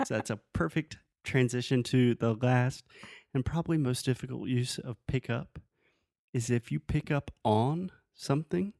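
A man co-hosting a podcast, teaching English phrases in a relaxed, teasing way, with patient examples. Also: medium pitch, gravelly, dry recording, clean studio sound. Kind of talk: casual teaching chat.

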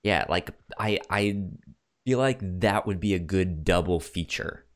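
A man co-hosting a casual film discussion, talking haltingly and often repeating words as he gets his thoughts out. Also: sits low, lively intonation. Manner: halting, repeats words and stammers over starts. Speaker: podcast co-host.

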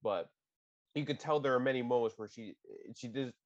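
A man's voice: medium pitch, normal speed, dry recording, dull audio. A man co-hosting a casual podcast, opinionated and rambling, often restarting sentences. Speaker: podcast co-host.

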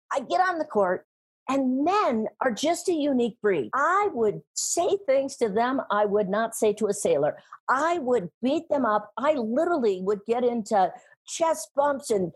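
Husky, animated woman speaker. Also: high voice, moderate pace. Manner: animated, emphatic, laughs often. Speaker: guest, sales trainer and speaker. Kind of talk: conversational interview.

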